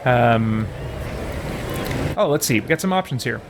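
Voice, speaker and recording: A man's voice: medium pitch, expressive, unhurried, background noise. A man hosting a casual roleplay let's play, thinking aloud hesitantly as he comments. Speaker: gaming channel host.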